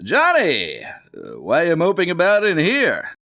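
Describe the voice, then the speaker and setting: A man's voice: on the high side, wildly swooping pitch, a little slow, creaky, pushed and bright, dry recording, clean studio sound, pitched above the performer's own voice, full character voice. A man voicing a puzzled father in a read-aloud storybook. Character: puzzled dad.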